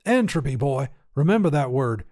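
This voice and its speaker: A man's voice: mid-range voice, big pitch swings, moderate pace, darkish tone, close to an everyday voice. A man voicing a cantankerous old grandfather, a folksy tinkerer who grumbles emphatically in a story reading. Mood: earnest preaching. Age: older. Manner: folksy, grumbling, emphatic, rural turns of phrase. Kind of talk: acted character dialogue in short fiction reading.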